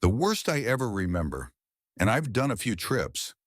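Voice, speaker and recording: A man's voice: on the low side, big pitch swings, normal speed, no echo, voice pushed up. A man playing a dry, good-humored middle-aged English doctor in a pulp story, given to wry understatement. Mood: friendly.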